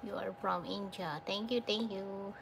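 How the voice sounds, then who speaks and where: Slow gravelly Filipino woman on a live stream. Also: mid-range voice, fairly even intonation, noisy background. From Philippines. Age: 30s. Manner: slow, soft-spoken, thanks viewers often. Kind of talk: casual live-stream chat with viewers. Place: dim room at night, indoors. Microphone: phone held close.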